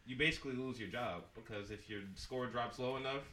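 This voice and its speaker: A man's voice: mid-range voice, brisk, bright, forceful tone, reverberant. A man chatting loosely with friends on a casual podcast, joking and projecting his voice. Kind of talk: casual chat among friends.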